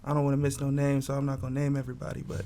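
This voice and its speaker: A man's voice: medium pitch, completely flat delivery, very fast, warm tone. A man in his twenties from Southern California, a record label head talking in a loose, laid-back way with lots of slang and fillers. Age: 20s. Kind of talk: casual interview talk.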